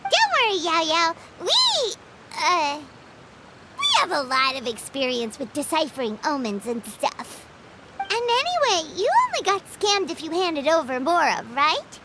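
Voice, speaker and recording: A woman voicing a tiny, excitable, childlike fairy companion in a video game, bubbly and dramatic, talking about herself in third person. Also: very high voice, unhurried, very noisy, heavily acted. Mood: reassuring.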